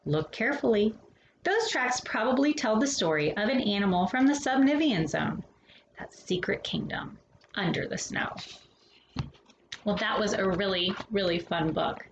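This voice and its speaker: A woman reading a children's picture book aloud as a storytime host, with expressive phrasing and hushed, drawn-out endings. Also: medium pitch, lo-fi sound. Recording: roomy echo with faint background noise.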